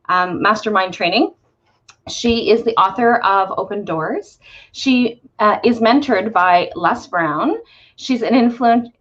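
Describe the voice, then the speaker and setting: A woman hosting a live-streamed interview, bubbly and excited, with many ums and uhs. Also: mid-range voice, highs cut off. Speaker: host.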